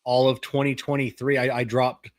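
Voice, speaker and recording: A man in his forties chats in a relaxed, easygoing way, stumbling and restarting as he goes and leaning on "you know". His voice sits at a middle pitch. The recording is dry and close-miked. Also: normal speed, dry recording. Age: 40s. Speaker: podcast co-host. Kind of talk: casual chat.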